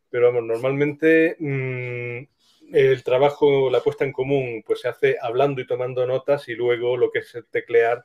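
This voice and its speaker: A man with a Spain Spanish accent talks in a relaxed interview register. His voice sits at an average pitch and the sound is a little muffled. He explains step by step, hesitating as he goes. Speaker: screenwriter being interviewed. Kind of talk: casual interview talk.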